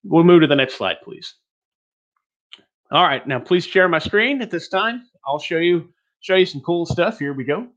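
A man's voice: fairly high pitch, lively intonation, moderate pace, a bit bright, highs cut off. Rambling joking male webinar teacher. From Texas. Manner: rambling, joking, self-deprecating. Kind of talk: online teaching presentation.

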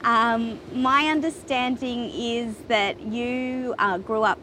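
A woman's voice: fairly high pitch, steady tone, slow pace, sharp tone, a little hiss or hum. Woman interviewing at the beach. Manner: hesitant, many fillers. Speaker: interviewer host. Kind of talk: casual interview chat. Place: outdoors at a beach.

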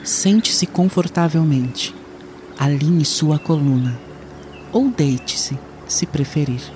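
Slow man guiding a meditation. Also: a little high, expressive, a little mellow, a little hiss or hum. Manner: soothing, unhurried, with long pauses. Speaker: meditation guide. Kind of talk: guided meditation narration.

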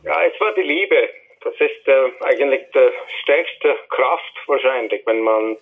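A man from India, a musician, speaks as an interview guest over the phone in a halting way. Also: medium pitch. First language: Hindi, English. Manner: halting, with restarts. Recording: tinny phone line.

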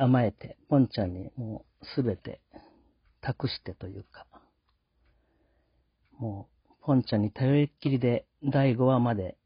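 Male host, husky voice, polite halting delivery, casual reflective monologue, dry lo-fi recording. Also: medium pitch, no room sound. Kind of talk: casual podcast sign-off monologue. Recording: dull lo-fi sound.

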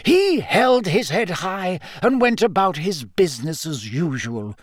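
A man voicing a wise old wizard headmaster in a storybook, measured and formal, with dry whimsy and calm authority. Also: sits high, no room sound, pitched above the performer's own voice, exaggerated voice. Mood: wry.

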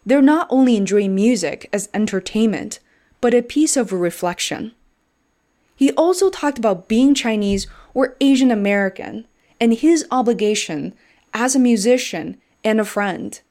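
A woman hosting her own podcast, with a fairly high voice. She reads her introduction from a script and then turns to easy, encouraging conversation with her guest. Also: warm tone. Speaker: podcast host.

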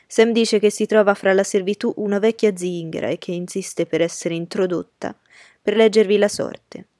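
Woman voicing a decisive gentleman colonel. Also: fairly low pitch, steady tone, moderate pace, no echo, studio quality, natural voice. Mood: decisive, courteous. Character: genial, decisive gentleman colonel addressing company.

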